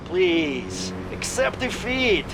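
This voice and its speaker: An actor playing a boastful enemy commander who taunts his foe in a slow, sneering way, over game music. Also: high voice, big pitch swings, heavily acted. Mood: menacing. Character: gloating male enemy commander, menacing and boastful.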